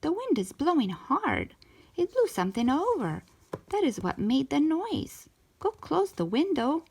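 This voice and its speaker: A woman's voice: high voice, sing-song, unhurried, vocal fry, a little mellow, pitched above the performer's own voice, heavily acted. A woman voicing a firm, exasperated but caring mother in a children's storybook. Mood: explaining, firm. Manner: firm, emphatic.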